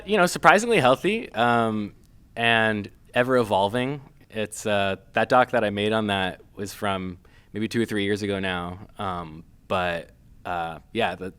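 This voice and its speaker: A man from Massachusetts, a filmmaker guest, talking casually with many pauses, fillers and restarts. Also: lowish voice, fairly bright tone, clear, resonant tone. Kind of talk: casual interview.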